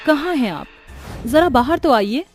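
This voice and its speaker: A woman's voice: high-pitched, animated, measured pace, loud music behind the voice. Woman playing a young village wife. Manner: earnest, thinking aloud. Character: young village wife, engineer, later a witch.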